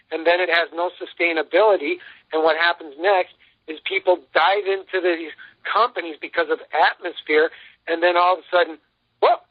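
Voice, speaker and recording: A man giving an emphatic, boastful sales pitch as a guest in a radio interview, telling anecdotes as he goes. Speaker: interview guest, company founder and CEO. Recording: muffled lo-fi phone-like radio line.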